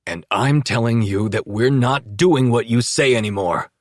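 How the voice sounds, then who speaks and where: A man voicing a steady sheriff who speaks calmly but loudly and firmly in an audiobook. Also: mid-range voice, normal speed, no room sound, clean studio sound, lightly acted. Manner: calm but loud, firm. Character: steady, firm middle-aged male sheriff.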